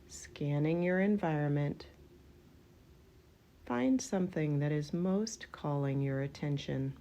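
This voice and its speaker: A woman with a low, mellow voice guides a relaxation meditation. She speaks very slowly in short, gentle phrases, leaving room between them. Her delivery is unhurried and instructional, with a heavy creak in the voice. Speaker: yoga nidra meditation guide.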